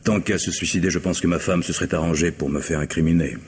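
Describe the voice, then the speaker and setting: Actor as guarded, deep-voiced gentleman suspect. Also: steady tone, natural voice. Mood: weary, defensive. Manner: measured, courteous, reticent. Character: guarded, formal bourgeois husband under suspicion. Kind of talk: French radio mystery drama acting. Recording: noisy old radio drama recording.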